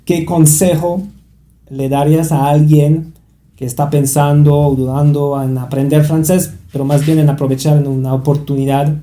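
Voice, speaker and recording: A man's voice: medium pitch, warm tone, echoey room. A man interviewing a guest, speaking hesitantly with frequent restarts. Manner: hesitant, many restarts and fillers. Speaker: interviewer for a language institute. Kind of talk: interview conversation.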